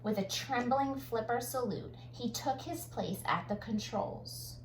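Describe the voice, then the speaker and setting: A woman reading a children's picture book aloud like a teacher, dramatic on sound words and pausing to comment. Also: a little high. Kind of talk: expressive children's read-aloud with explanatory asides. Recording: roomy echo, faint background noise.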